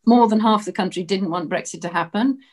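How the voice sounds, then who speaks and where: A woman's voice: mid-range voice, brisk, darkish tone. A woman from Britain giving earnest, outspoken welcoming remarks as co-host of an online discussion over a video call.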